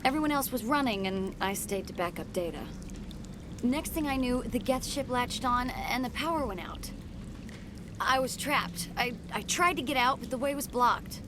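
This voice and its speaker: A woman's voice: on the high side, lively intonation, moderate pace, background noise, natural voice. A woman playing an anxious, stranded young research assistant in a video game, hesitant and nervous.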